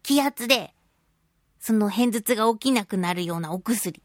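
A woman's voice: mid-range voice, animated, moderate pace, no room sound, clean studio sound. A woman voice actor hosting a casual solo radio talk show, chatting playfully and acting out sound effects.

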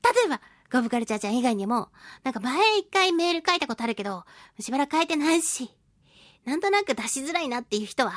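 A woman's voice: sits high, lively intonation, quick pace, no echo, clean studio sound. A woman hosting a solo radio show, reading listener mail in a chatty, giggly, playfully gushing way. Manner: playful, gushing, with exaggerated pleading; chatty, giggly, reacting aloud to what she reads.

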